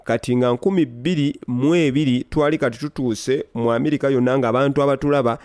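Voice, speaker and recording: A man interpreting a sermon, talking rapidly and restarting words as he keeps up. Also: mid-range voice, fairly even intonation, a little mellow, no echo, clean studio sound.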